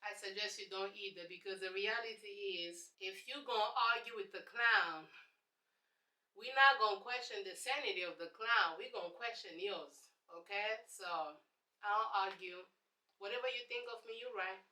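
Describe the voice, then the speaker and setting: A woman's voice: mid-range voice, normal speed, sharp tone, reverberant, low-quality recording. A young woman from Congo with a Congolese accent, answering viewer questions in a casual, sassy vlog. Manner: chatty, sassy, preachy asides. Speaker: YouTube host.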